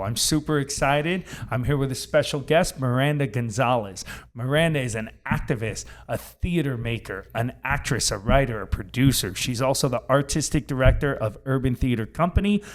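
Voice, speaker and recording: Chatty male podcast host with a New York accent, upbeat and easygoing. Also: mid-range voice. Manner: upbeat, chatty, laughs easily. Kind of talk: casual interview chat.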